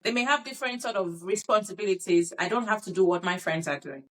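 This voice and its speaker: A woman's voice: mid-range voice, fast talker, a bit bright. A woman with a Nigerian accent, co-hosting a playful podcast debate and arguing the defense side with earnest, mock-formal pleading.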